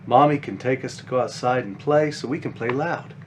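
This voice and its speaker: A man's voice: mid-range voice, a little fast, slight background noise, close to an everyday voice. A man voicing a caring five-year-old big sister bunny from a children's picture book, explaining things simply and earnestly.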